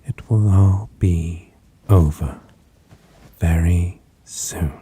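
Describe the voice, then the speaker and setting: A man playing a possessive, menacing vampire in a dramatic audio roleplay, speaking in a theatrical, condescending manner with a husky, breathy voice. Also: low-pitched, mellow, full character voice. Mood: cold, solemn. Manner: slow, theatrical, archaic wording, condescending endearments. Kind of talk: dramatic audio roleplay acting addressed to listener.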